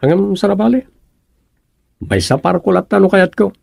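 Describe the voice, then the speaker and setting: A good-humoured, drowsy village captain in a radio comedy. His voice is husky and a little high, with a gravelly creak, and he banters and muses with English words dropped in. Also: expressive, normal speed, darkish tone, no echo, heavily acted. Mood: defensive banter. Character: sleepy, good-humoured village captain and husband who writes stories. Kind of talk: Ilocano radio comedy drama acting.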